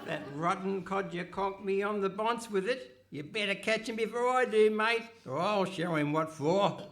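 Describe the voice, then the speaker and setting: A man's voice: sits high, normal speed, fairly bright tone, slight background noise. A man playing a bumbling, cheeky, long-suffering tramp with slangy, whining comic delivery in a live stage radio comedy. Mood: indignant. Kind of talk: Goon Show style radio comedy acting. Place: live stage performance in a hall.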